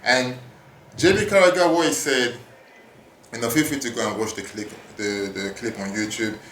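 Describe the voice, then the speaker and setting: A man in his thirties, raised in France, hosting a casual podcast monologue in an animated, hyping way with casual swearing, recorded at a gym. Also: medium pitch, normal speed, echoey room, slight background noise. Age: 30s. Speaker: podcast host. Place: gym at work.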